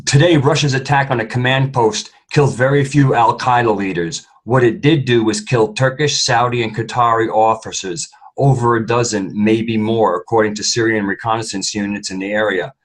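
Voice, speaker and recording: A man's voice: mid-range voice, steady tone, normal speed, hardly any pauses. A man co-hosts a discussion show with off-the-cuff commentary. He is emphatic and tends to restate his points in short, repeated phrases. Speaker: co-host of a talk show. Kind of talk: unscripted political commentary.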